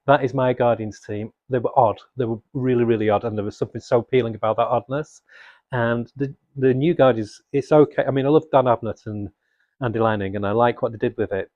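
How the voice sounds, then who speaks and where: A man's voice: mid-range voice, darkish tone, no room sound, lo-fi sound. A man presenting unscripted fan commentary to viewers in a casual, rambling, enthusiastic way. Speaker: comics video presenter.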